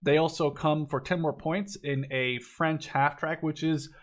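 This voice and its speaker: A man's voice: fairly high pitch, fairly even intonation, unhurried. A man in his twenties giving casual game commentary in a rambling, conversational, opinionated way. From Netherlands. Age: 20s. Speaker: gaming video commentator.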